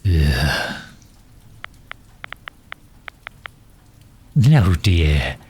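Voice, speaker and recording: A man's voice: deep voice, a little mellow, breathy edge, exaggerated voice. A man playing a genteel, erudite villain in an ASMR roleplay, speaking formally and precisely with polite condescension, a courteous and misunderstood sort. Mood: defensive, refined.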